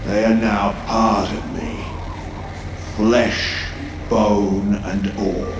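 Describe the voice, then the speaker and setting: A man's voice: lowish voice, fairly even intonation, drawn-out words, noisy background. An actor playing a menacing possessed man merged with an alien horror, taunting and grandiose, in a sci-fi horror audio drama. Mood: zealous, ominous. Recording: roomy echo over music.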